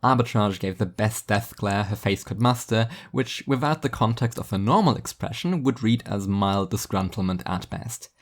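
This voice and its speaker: A man's voice: fairly low pitch, lively intonation, normal speed, hardly any pauses. A man reading scripted fiction as an audiobook narrator.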